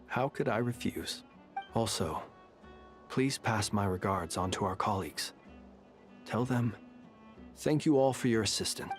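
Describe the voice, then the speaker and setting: An actor voicing an unflappable, wry and courteous gentleman adventurer in a video game. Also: lowish voice, close to an everyday voice. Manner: measured, courteous, drily nonchalant. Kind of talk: English video game voice acting. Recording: game audio with faint music.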